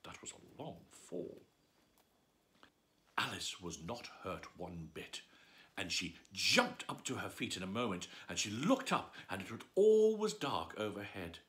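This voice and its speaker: A man's voice: fairly low pitch, big pitch swings, unhurried, fairly bright tone. A man reading a bedtime story aloud, playful and adding asides to the listener.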